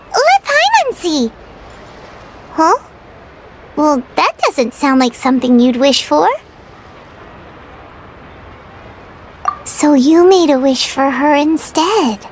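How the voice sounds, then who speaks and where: A tiny childlike fairy companion from a video game. The voice is extremely high and squeaky, swooping with excitable, earnest energy. There is clear noise behind it. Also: female voice, full character voice. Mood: cheerful, reassuring. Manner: speaks of herself in third person, exclamatory. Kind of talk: animated video game acting.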